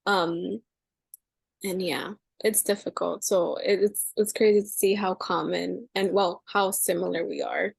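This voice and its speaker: A woman's voice: on the low side, fairly even intonation, a little slow, gravelly, breathy edge, no room sound. A woman hosting a podcast who chats casually and rambles with many "like" fillers. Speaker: podcast host.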